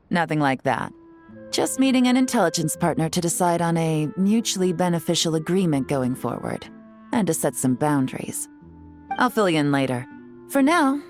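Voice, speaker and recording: A suave young female secret agent from a video game, speaking in a lowish, poised voice. She is leisurely and slyly teasing, with music playing behind her. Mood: composed, teasing. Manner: poised, leisurely, playfully sly. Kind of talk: video game character dialogue.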